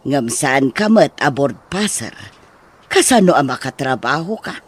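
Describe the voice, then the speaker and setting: A man playing a concerned, coaxing parent in an Ilocano radio drama, with a husky voice and Ilocano accent. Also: medium pitch, sing-song, a little slow.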